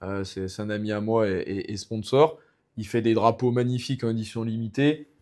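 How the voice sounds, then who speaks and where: A man's voice: fairly low pitch, fairly even intonation, a little fast, darkish tone. Young French guy, fighter guest on a chat podcast, rambling and filler-heavy. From France. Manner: rambling, many restarts and fillers. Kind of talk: casual podcast conversation.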